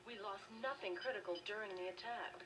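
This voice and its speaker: A woman's voice: medium pitch, brisk, recorded from a distance, noisy background, lightly acted. A woman playing a serious, wary research staffer in a video game, earnest and formal.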